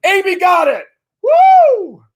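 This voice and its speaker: A man hosting a live online auction stream, calling out bids excitedly and chatting with viewers. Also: very high voice. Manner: excited, rapid bid calling. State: often loses voice after streams. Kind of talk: fast auctioneer-style live stream chat.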